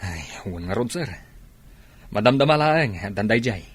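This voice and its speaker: A man's voice: fairly low pitch, expressive, normal speed, breathy edge, no echo. A man with an Ilocano accent playing a respectful soldier in a radio drama. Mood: deferential. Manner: respectful, calling the commander sir. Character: deferential soldier under the commander.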